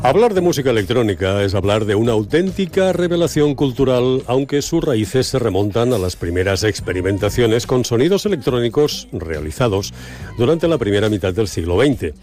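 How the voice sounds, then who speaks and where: A man's voice: mid-range voice, animated, normal speed, darkish tone. Man, radio host, jokey interviewer, faint background music. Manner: smooth, teasing, jokey with guest. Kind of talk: scripted intro then chatty interview. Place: radio studio.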